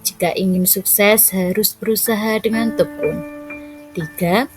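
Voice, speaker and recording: A woman teaching a recorded lesson for schoolchildren, explaining step by step in a clear, measured way. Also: medium pitch, fairly even intonation. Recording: loud background music.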